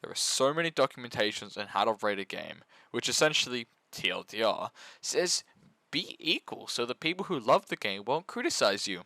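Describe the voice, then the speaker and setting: A man's voice: mid-range voice, sing-song, moderate pace, vocal fry, pushed and bright, dry recording. A man narrating a comedic scripted review as a video essayist, mock-serious, sarcastic and sweary.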